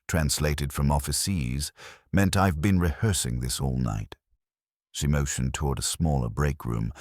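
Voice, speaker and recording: A man's voice: extremely low pitch, a little mellow, dry recording. A man narrating a first-person detective story in a wry, dry-humoured way. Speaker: audiobook narrator.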